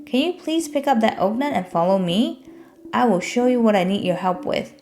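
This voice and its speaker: A friendly storybook squirrel, played by a woman, chattering and full of encouragement. She is grateful and pitched higher, with music underneath. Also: animated, normal speed, a little mellow. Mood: friendly, pleading. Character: friendly female squirrel with a hurt arm, grateful.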